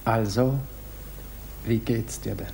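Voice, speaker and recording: An urbane young musician in an old radio drama, breezy and a little condescending. His voice is very creaky and mellow, with light, chatty delivery and faint noise behind. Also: lowish voice, fairly even intonation, slow, exaggerated voice. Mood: breezy, wistful. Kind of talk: 1950s radio drama acting. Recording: faintly noisy 1950s radio recording.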